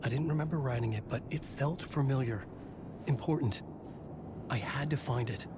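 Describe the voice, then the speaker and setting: An actor playing a haunted writer, narrating a video game in a grave, hushed, intense noir style. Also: male voice, mid-range voice, normal speed, noisy background, lightly acted. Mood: brooding.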